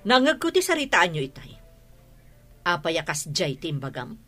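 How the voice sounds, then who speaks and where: A woman's voice: fairly low pitch, sing-song, normal speed, a bit bright, soft background music. An actress with a Filipino Ilocano accent playing a haughty, domineering mother and mistress of the house in a radio drama, scolding and imperious. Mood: indignant.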